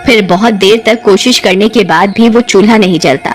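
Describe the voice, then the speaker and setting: A woman's voice: medium pitch, moderate pace. A woman narrating a scripted cartoon ghost story in a dramatic storytelling style.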